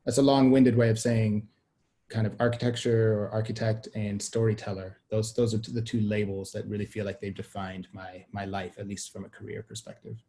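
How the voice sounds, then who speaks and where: A man's voice: lowish voice, flat delivery, brisk, mellow. A young adult man from Sweden, a guest talking on a video call in a thoughtful, expansive way with frequent restarts. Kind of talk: conversational interview on a video call.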